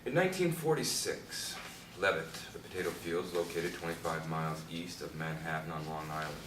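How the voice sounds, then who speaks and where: A man in a stage play reading, playing an aging, pedantic Beat architect who tells stories in a measured, lecturing way. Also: low-pitched, lightly acted. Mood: matter-of-fact. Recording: echoey, noisy room from far off.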